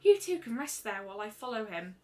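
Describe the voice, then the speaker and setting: A woman voicing a gentle, soft-spoken young female pegasus pony in a story reading. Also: medium pitch, sing-song, fairly quick, close to an everyday voice.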